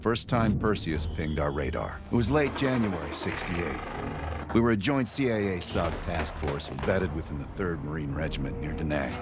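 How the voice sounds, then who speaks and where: An actor playing a commanding, terse covert-operations leader in a cinematic military game. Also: male voice, very deep voice, animated, moderate pace, over loud music. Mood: grave, resolute. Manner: authoritative, terse.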